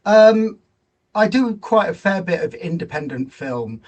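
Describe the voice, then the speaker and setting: Casting: male, forties, interview guest, slightly high voice, thoughtful and modest. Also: expressive, a little slow, darkish tone, dull audio. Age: 40s. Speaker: guest, actor and filmmaker. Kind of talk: conversational interview answers.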